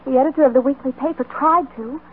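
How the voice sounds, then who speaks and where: An actress playing a worried, pleading frontier wife in a radio western, speaking earnestly and anxiously. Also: female voice, sits high, fast talker, close to an everyday voice. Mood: fearful. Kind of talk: old-time radio western acting. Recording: muffled, noisy vintage radio recording.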